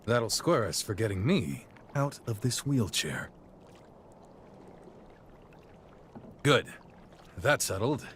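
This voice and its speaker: An actor playing an easygoing middle-aged warrior captain and encouraging mentor in a video game cutscene. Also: male voice, fairly low pitch, very animated, unhurried, a bit bright, slight background noise. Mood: playful, confident.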